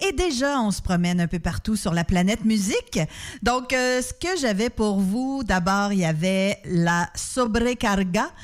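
Woman, Québécois accent, radio host, informal, hesitant pauses, studio microphone, mid pitch. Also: animated. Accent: Québécois French. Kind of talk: radio music show hosting.

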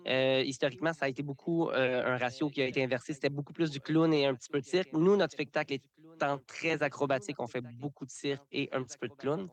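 Man, chatty circus artist. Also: fairly high pitch. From Canada. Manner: relaxed, repeats words, many fillers. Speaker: circus performer. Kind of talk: radio interview, casual.

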